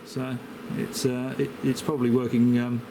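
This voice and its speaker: An Australian man with a mid-pitched voice talks over clear background noise. He is an amateur radio operator in casual conversation, rambling in a hesitant, matey way. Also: little pitch movement, moderate pace. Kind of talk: casual radio contact chat.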